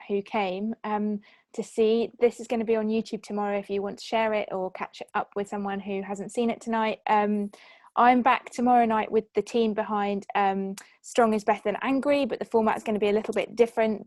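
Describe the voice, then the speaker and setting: A woman's voice: medium pitch, darkish tone, no room sound. A woman hosting a film Q&A in a casual, conversational style. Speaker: host, film producer moderating a Q&A. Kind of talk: casual online panel hosting.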